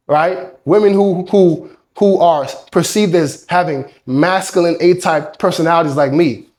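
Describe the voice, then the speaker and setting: A man's voice: fairly high pitch, darkish tone. Congested, emphatic male dating coach. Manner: emphatic, preachy, repetitive, swears freely. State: congested from a cold. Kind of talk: motivational talk to camera.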